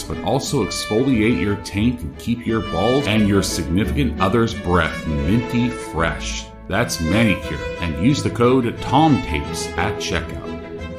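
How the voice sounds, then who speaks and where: A true-crime podcast host with a low, deep voice. He delivers scripted lines in a measured, deliberate manner, like a small-town investigator presenting his audio drama. Music plays prominently beneath him. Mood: smooth sales pitch. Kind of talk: scripted true-crime narration and sponsor read.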